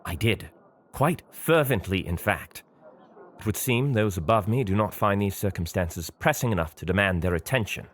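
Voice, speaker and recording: A man's voice: fairly low pitch, expressive, normal speed, natural voice. A man playing a stiff, reserved, apologetic Victorian government official in an audio drama, speaking formally and precisely. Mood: regretful, formal.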